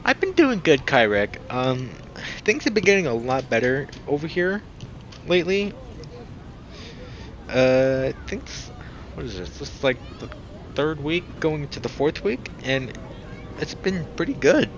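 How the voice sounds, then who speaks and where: A man's voice: fairly high pitch, sing-song, measured pace, long pauses, highs cut off. A young adult man doing a casual let's play, chatting to viewers and reading a visual novel aloud. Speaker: game streamer. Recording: noisy background behind the voice.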